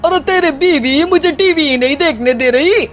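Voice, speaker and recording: Woman playing a bossy older mother-in-law, high-pitched. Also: normal speed, soft background music, lightly acted. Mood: complaining. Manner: bossy, complaining. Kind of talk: animated cartoon story dialogue.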